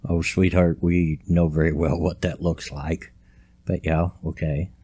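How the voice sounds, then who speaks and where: Deep-voiced older man. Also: flat delivery, measured pace, warm tone, husky, soft background music. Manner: folksy, rambling, drawling. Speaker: vlogger. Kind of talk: casual grumpy commentary. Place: home at night, quiet room.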